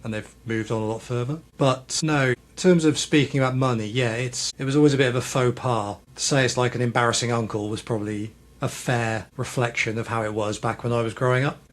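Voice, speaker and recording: A man's voice: mid-range voice, fairly even intonation, fairly quick, hardly any pauses. A British man in his thirties co-hosting a casual podcast, rambling and hesitant as he works through his thoughts. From Britain. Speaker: podcast co-host.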